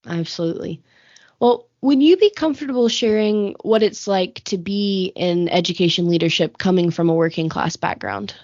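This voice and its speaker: A woman's voice: on the low side, moderate pace, darkish tone, no echo, highs cut off. A woman hosting an interview, asking questions and affirming answers briefly. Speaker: interviewer, host. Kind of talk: conversational interview.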